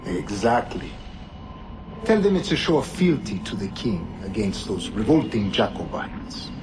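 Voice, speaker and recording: An actor playing a scheming, commanding governor in a video game cutscene, measured and persuasive. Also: male voice, on the low side, big pitch swings. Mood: calculating, persuasive. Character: scheming, commanding male Templar governor, politically calculating. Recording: clear background noise.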